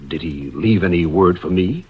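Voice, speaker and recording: A man's voice: sits low, moderate pace, breathy edge. A man playing a polite, inquisitive house guest in an old-time radio suspense drama. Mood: polite, suspicious. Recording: dull vintage radio recording.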